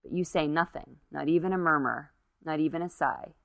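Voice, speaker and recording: A woman's voice: deep voice, fairly quick, gravelly, a bit bright, dry recording, studio quality. A woman narrating a literary short story as its reader.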